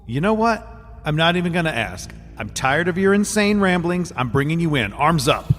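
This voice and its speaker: A man's voice: on the high side, sing-song, normal speed, a bit bright. Impatient male agent, commanding, curt, long reverb, music behind, audio drama. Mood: exasperated, firm. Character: blunt, impatient male special agent hero.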